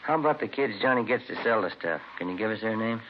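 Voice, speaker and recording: An actor playing a plain-spoken, conversational police detective in a 1950s radio crime drama. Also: male voice, on the low side, expressive, fast, lightly acted. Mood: businesslike. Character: matter-of-fact male police detective, sergeant's partner. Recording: muffled vintage radio recording, faint noise.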